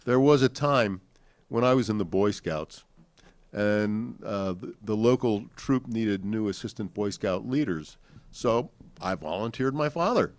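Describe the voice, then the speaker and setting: An older man with an American accent recounts family memories to an audience in a halting, deliberate, reflective way. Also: mid-range voice. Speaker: panel speaker sharing family recollections. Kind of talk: unscripted storytelling to an audience.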